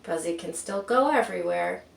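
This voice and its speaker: A woman's voice: lowish voice, expressive, moderate pace, vocal fry. A woman reading a picture book aloud to children, expressively, with chatty asides and rhetorical questions. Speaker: children's storybook reader. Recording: roomy, distant mic with faint background noise.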